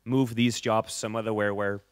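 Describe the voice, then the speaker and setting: A man moderating a conference panel, brisk and conversational. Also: fairly low pitch, little pitch movement, clear, resonant tone, dry recording, studio quality. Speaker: session moderator.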